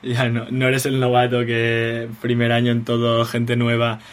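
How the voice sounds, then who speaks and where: A young man from Spain hosts a casual interview. His voice sits at a middle pitch. He is chatty and strings his questions together at length. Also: completely flat delivery, a little slow. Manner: chatty, asks long rambling questions. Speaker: podcast host and interviewer.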